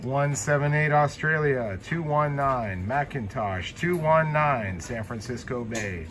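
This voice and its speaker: A man's voice: medium pitch, lively intonation, slow pace. A man at a home radio station calling out call signs in a clipped radio cadence. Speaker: CB radio operator. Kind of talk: CB radio calls. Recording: loud static noise, radio audio.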